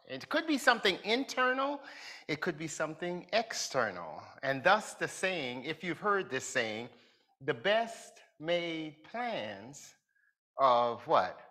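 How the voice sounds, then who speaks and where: A middle-aged man preaching as a pastor from a pulpit in a large church hall, speaking deliberately and building emphasis. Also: fairly high pitch, a bit bright. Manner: slow, deliberate delivery with rising emphasis. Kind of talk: sermon.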